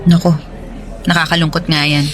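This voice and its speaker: A woman's voice: deep voice, flat delivery, moderate pace, lightly acted. An actress playing a friendly single office woman, chatty and sympathetic, in casual naturalistic radio drama dialogue. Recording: studio voice with soft music underneath.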